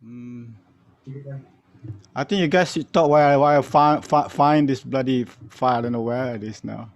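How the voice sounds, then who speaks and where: A man chatting casually on an online call, halting and muttering to himself as he goes. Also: mid-range voice, dry recording. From Malaysia. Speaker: guest storyteller, music archivist. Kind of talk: casual chat on an online session. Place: online video call from home.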